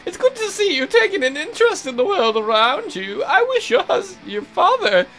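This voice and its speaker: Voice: very high voice, very animated, normal speed, fairly bright tone, soft background music, voice pushed up, exaggerated voice. A person voicing a doting, indulgent middle-aged mother in an exaggerated way for a visual novel. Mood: fond, scatterbrained.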